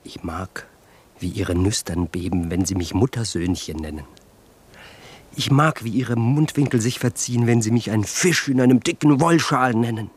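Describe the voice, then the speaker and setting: An actor playing a languid, mocking, flirtatious gentleman in a radio drama, sardonic and insinuating. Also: lowish voice, moderate pace, breathy edge. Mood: insinuating, seductive.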